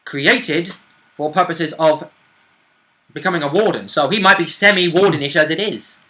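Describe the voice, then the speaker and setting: A man's voice: a little high, normal speed, bright, forceful tone. A man chatting as a player in a tabletop game, blunt, joking and free with swearing. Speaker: player in a tabletop roleplaying group. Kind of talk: casual banter about a tabletop game.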